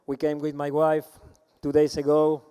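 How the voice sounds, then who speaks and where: A man in his fifties with an Argentine Spanish accent speaks at a conference, his voice mellow, smooth and slightly high. He talks in a halting, friendly way, restarting phrases and repeating words. He is heard through the PA of a large hall. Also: completely flat delivery. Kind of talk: conference presentation.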